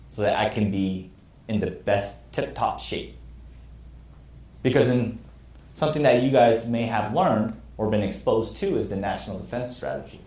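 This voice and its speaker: A man's voice: on the low side, darkish tone. Earnest man lecturing in an echoey room. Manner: earnest, candid about own past mistakes. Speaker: military instructor. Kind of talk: classroom lecture.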